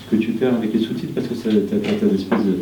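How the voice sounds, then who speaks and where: A film director from Argentina, whose first language is Spanish, speaking in an interview with many restarts and repeated words. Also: male voice, lowish voice, fairly even intonation, quick pace. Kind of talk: interview conversation. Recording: echoey room, distant mic, faint noise.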